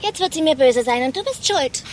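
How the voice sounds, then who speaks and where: A woman's voice: high voice, expressive, brisk, slight background noise. A woman playing a fretful, complaining companion in a radio play.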